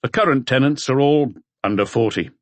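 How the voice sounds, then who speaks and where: A man voicing a patient, serious middle-aged police detective in an audiobook, speaking in measured, terse phrases. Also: mid-range voice, dry recording, pitched above the performer's own voice, natural voice. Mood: measured, hesitant.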